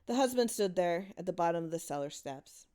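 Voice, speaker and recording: A woman's voice: lowish voice, animated, fairly quick, warm tone. A woman narrating a horror short story in a dramatic reading style.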